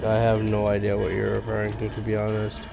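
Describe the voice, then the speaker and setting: A young man with a stuffy nose and a raspy voice, chatting loosely and swearing at enemies while he streams a game. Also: on the low side, monotone, fast, background noise. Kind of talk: casual gaming commentary.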